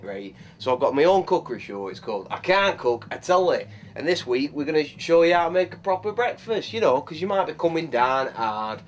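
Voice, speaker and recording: An actor playing a crude, swaggering cook from Yorkshire with a Yorkshire accent, rambling and swearing. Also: male voice, on the high side, slight background noise. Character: crude boozy Yorkshire lad cook, brash and lewd. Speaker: comic cook character. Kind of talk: improvised comedy sketch.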